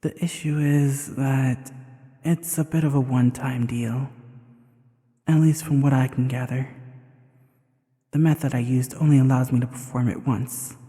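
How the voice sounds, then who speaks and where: A very dark, mellow male voice with long reverb around it , a man acting as a sly evil sorcerer in a roleplay audio drama. He is teasing and self-assured, speaking intimately to one listener. His low-key delivery lingers on words, unhurried and smooth. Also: steady tone, lightly acted. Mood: matter-of-fact, grave.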